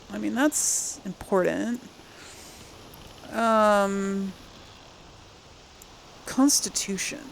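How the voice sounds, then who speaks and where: A woman's voice: mid-range voice, creaky, slight background noise, poor audio. A woman hosting a casual gaming let's-play, chatting and thinking aloud as she plays. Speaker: gaming channel host playing through a game.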